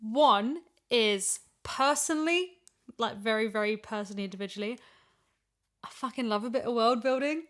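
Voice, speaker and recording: A woman's voice: a little high, normal speed, a bit bright. A woman in a casual podcast chat, talking emphatically and repeating phrases for stress as a voice actor discussing an audio drama.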